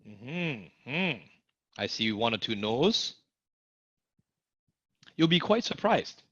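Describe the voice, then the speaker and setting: A man's voice: medium pitch, slow, no echo, dull audio. A man teaching a live online sales class, energetically asking viewers to answer in the chat. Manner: energetic, asks audience to type in the chat. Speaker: sales course instructor.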